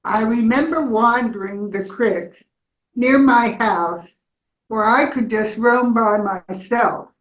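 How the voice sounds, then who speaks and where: A woman's voice: a little high, slow pace. A woman in her eighties reading a personal memoir aloud as scripted narration in a staged community reading. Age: 80s. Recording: roomy, echoing live space.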